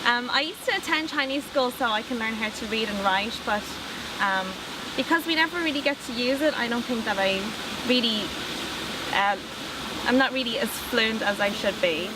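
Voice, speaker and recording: Higher-voiced young woman, noisy background. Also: normal speed. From Ireland. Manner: thoughtful, with frequent ums. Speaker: interviewee. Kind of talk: casual interview talk. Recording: audible background noise.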